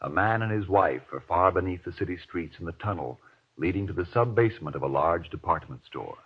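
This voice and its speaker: Deep, husky vintage radio announcer, tinny sound. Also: male voice, animated, fairly quick. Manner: formal, emphatic salesmanship. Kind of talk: 1940s radio announcing and sponsor pitch. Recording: tinny, narrow-band old radio recording.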